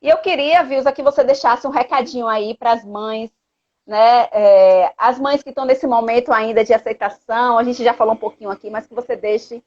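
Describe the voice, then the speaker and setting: High-voiced earnest Bahian woman, muffled livestream. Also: moderate pace, darkish tone. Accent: Brazilian, from Salvador, Bahia. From Salvador, Brazil. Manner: earnest, exhortative, with frequent tag questions. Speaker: host of a live chat, activist parent. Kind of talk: casual live chat. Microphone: live social media video call. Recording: dull lo-fi livestream audio.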